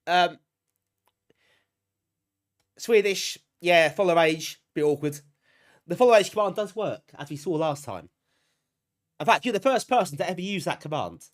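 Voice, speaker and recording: A British man hosts a live stream in a fairly high voice with very animated intonation. He sounds bunged up with a cold. He chats casually with viewers in a self-deprecating, appreciative way. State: bunged up, getting over a cold. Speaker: live streamer hosting a retro gaming stream.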